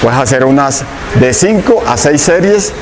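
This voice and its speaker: A man's voice: medium pitch, expressive, measured pace. A man in his thirties with a Colombian accent, a fitness trainer explaining a workout in an indoor gym, repeating key points and checking in with his viewers. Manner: instructive, repeats himself, checks in with "¿sí?". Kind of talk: workout tutorial. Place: indoor gym, large room. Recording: noisy gym room.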